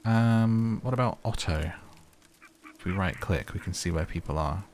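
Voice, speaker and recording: A man's voice: sits low, normal speed, a little mellow, slight background noise. A man giving casual gameplay commentary, playful and chatty as he improvises. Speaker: gaming video host.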